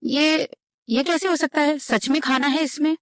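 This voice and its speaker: A woman's voice: sits high, fairly even intonation, moderate pace, fairly bright tone, dry recording, clean studio sound. A woman playing a poor, earnest village wife in a cartoon moral story. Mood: amazed.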